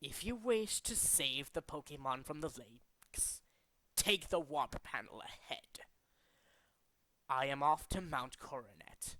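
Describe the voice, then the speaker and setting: A man voicing a cold, megalomaniac villain boss in a dramatic, deliberate video game monologue. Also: medium pitch, expressive, bright, forceful tone, no echo. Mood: cold, quiet, aloof. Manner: grandiose, deliberate, menacing. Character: cold, megalomaniac male villain boss bent on remaking the world. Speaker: amateur voice actor in demo reel.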